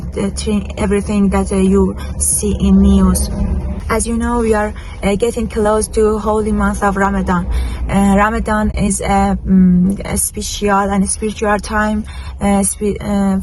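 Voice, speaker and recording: A woman with a Persian accent talks slowly and evenly to her vlog audience. Persian is her native language, and her English comes out hesitant, with searches for words. Clear ambient noise runs behind her voice. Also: mid-range voice, a little mellow, rough recording. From Iran. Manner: halting, hesitant English with frequent word searching. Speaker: travel and lifestyle vlogger. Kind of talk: casual vlog talk to camera.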